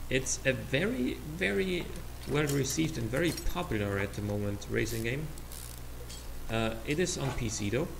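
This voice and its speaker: Gravelly Austrian man rambling over gameplay. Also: medium pitch. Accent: Austrian German. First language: German. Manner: rambling, hesitant, many fillers and restarts. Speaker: let's play host. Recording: noisy home recording.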